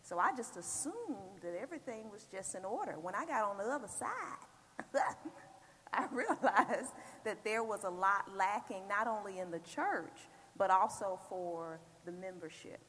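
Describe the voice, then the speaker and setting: A woman panelist and educator speaking in a measured, reflective way, with frequent ums. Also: medium pitch, animated, a bit bright. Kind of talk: panel discussion. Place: large church hall. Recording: roomy hall with faint hum.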